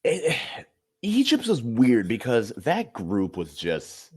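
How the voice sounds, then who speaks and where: A man's voice: mid-range voice, huge pitch swings, slow pace, a little mellow, husky, dry recording, clean studio sound. A man co-hosting a podcast, chatting loosely about football with many fillers and restarts. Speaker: podcast co-host. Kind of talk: casual sports chat.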